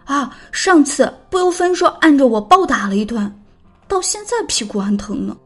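A woman's voice: sits high, lively intonation, moderate pace, husky, faint music behind. An actress playing a spirited, blunt but kind-hearted young woman in an audio drama, playfully scolding. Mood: anxious, candid. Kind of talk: audio drama character acting.

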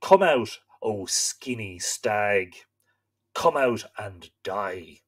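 A man's voice: on the low side, animated, extremely slow, fairly bright tone, heavily acted. A man voicing a pack of hungry, menacing wolves in a read-aloud myth. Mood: taunting.

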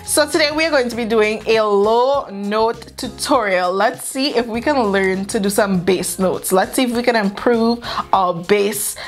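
Chatty young woman, unhurried. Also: fairly high pitch. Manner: expressive, self-deprecating, chatty with many fillers. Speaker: co-host. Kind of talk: casual chat, singing practice. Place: indoor studio with a purple backdrop.

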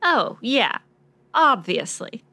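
A woman playing a brisk, impatient, sardonic ace reporter in a noir radio drama pastiche. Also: on the high side, very animated, gravelly, sharp tone, dry recording, full character voice. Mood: impatient sarcasm.

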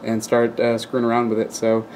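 Male hobbyist host, lowish pitch, monotone, casual and rambling explainer, faint room noise behind. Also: brisk. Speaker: video host, radio hobbyist. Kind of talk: casual unscripted demonstration, explaining. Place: indoors at a wooden workbench.

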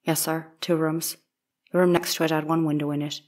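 Actress as young maid on the witness stand, even monotone delivery, terse, plain, deferential, guarded, period courtroom drama. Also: female voice, deep voice, a little fast. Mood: plain, unsure. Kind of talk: dramatic reading of an 1890s trial transcript.

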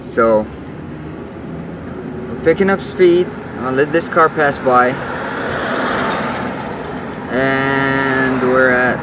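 A young man hosting a car vlog, chatting step by step while he drives, recorded on a dashboard camera. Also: mid-range voice. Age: young adult. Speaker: car channel host. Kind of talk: casual vlog narration. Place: inside a car on the street. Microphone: camera mounted on the dashboard. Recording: road noise in a moving car. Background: car and road noise.

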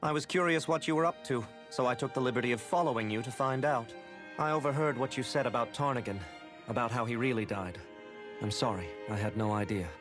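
A man's voice: mid-range voice, a little fast, music in the background, natural voice. An actor playing a considerate, loyal young man, casual and reassuring, in a dramatic video game. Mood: apologetic, reassuring.

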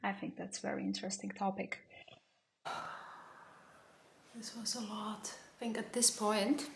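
A woman's voice: medium pitch, steady tone, measured pace, gravelly, a little hiss or hum. A young woman in her mid-twenties from Armenia, chatting casually to the camera while she tidies her small apartment, a little nervous and reflective. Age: mid-20s. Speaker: vlogger. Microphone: camera on a stand, some lines away from it.

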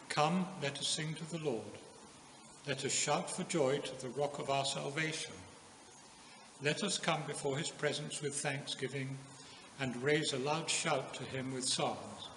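A man in his seventies with an English accent gives a solemn, measured scripture reading inside a stone church. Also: mid-range voice, a bit bright. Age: 70s. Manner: measured, deliberate phrasing. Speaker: scripture reader at a church service. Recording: echoey stone church, audible room noise.

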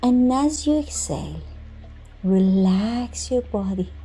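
A woman leading a scripted guided meditation in a soothing manner, giving instructions in short phrases with pauses between them. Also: on the high side, expressive, mellow, breathy edge. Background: music.

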